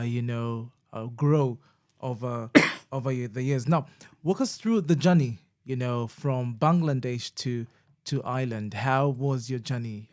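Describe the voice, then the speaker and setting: A man's voice: mid-range voice, a little mellow. A man hosts the interview, putting questions to his guest in an encouraging way. He keeps the talk moving with frequent short affirmations. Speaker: interviewer, host.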